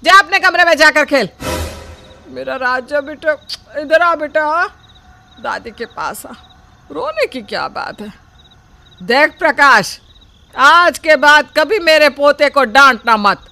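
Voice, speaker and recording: A woman's voice: sits high, expressive, slow pace, creaky, pauses often, pushed and bright, voice pushed up, heavily acted. Woman voicing a scolding bossy grandmother. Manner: nagging, scolding.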